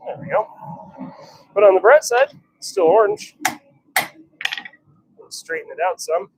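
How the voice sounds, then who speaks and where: Man in higher, swinging voice, workshop noise. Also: wildly swooping pitch, measured pace, gravelly. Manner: muttering self-commentary in short clipped remarks, wry. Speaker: livestream host forging knives. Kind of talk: casual livestream chat and running commentary while working. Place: blacksmith workshop with forge.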